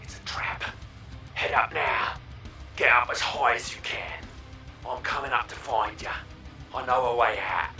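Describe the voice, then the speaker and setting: An actor playing a sly, gruff underworld informant in a video game, with a breathy, whispery growl and a conspiratorial manner. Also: male voice, mid-range voice, a little slow, long pauses, pushed and bright, reverberant, distant mic, soft background music. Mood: urgent, wary. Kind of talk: video game character acting.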